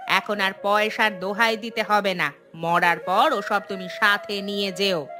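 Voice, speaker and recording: A woman's voice: mid-range voice, steady tone, measured pace, over music, close to an everyday voice. A woman voicing a bossy, nagging elderly village wife in a cartoon, with a drawling, folksy way of scolding. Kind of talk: cartoon voice acting.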